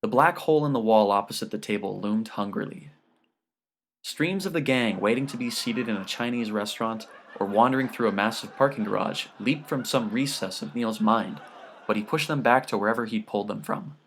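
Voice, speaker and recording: A man's voice: on the low side, brisk, a little hiss or hum. A man narrating scripted literary fiction as an audiobook reader.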